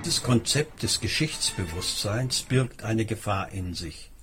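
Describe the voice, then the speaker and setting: A man reading a scripted documentary narration in a measured, explanatory manner. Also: fairly low pitch, expressive, over loud music.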